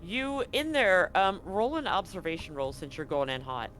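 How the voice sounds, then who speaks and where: A man improvising descriptive narration as game master of a tabletop horror game, in a halting, descriptive way. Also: sits high, fairly bright tone, soft background music.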